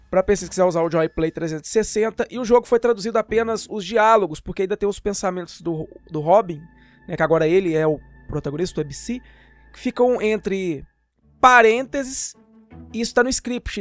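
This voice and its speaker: A Brazilian man giving a casual, slangy, jokey voice-over as host of a gaming channel. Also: high voice. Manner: chatty, jokey, informal. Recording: voice-over with music behind.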